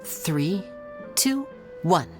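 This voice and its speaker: Confident young male magician voiced by a woman, lowish for a woman, lively and theatrical, anime game style. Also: extremely slow, over music, full character voice. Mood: showy. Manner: smooth-talking, theatrical, playful. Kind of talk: anime video game voice acting.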